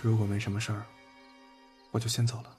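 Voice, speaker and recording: Man acting a quiet, self-doubting young man. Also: fairly low pitch, monotone, fast, close to an everyday voice. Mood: guarded, subdued. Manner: quiet, hesitant, restrained. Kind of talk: audio drama acting. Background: background music.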